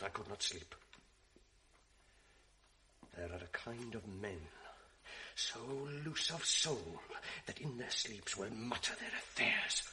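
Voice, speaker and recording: An actor playing a manipulative ensign in a classic radio drama, insinuating and often dropping to a whisper. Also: male voice, lowish voice, background noise. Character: scheming male ensign, sly and manipulative.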